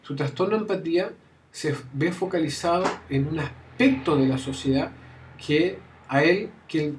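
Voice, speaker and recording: A man giving a university lecture, often restarting phrases and checking in with his students. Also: a little high. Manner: frequent fillers and restarts, checks understanding with "¿ya?". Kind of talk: classroom lecture. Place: classroom.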